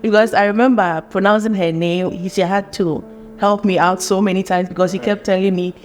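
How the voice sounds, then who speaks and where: A woman hosting a casual interview chat. She talks in a lively way, laughs often and asks long, rambling questions. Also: mid-range voice, a little fast. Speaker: host.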